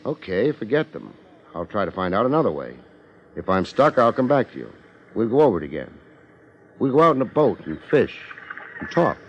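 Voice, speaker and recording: An old, dull-sounding radio recording of a hard-boiled detective. His voice sits fairly low and moves in lively swings. He is wry and clipped, persuasive in the manner of 1950s radio drama. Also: brisk. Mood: firm, persuasive. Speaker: lead actor and narrator of detective drama. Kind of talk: 1950s radio detective acting, narration and dialogue.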